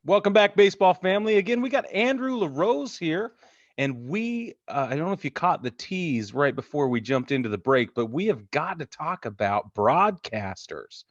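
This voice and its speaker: A man's voice: a little high, big pitch swings, moderate pace, dry recording. Guy hosting a sports podcast, jokey and casual, dry slightly muffled audio. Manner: jokey, informal. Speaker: podcast host.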